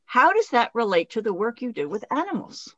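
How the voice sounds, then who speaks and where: A woman hosting a radio interview show, delivering formal, deliberate introductions and questions. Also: on the high side, very animated, dry recording. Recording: dull, dry studio sound.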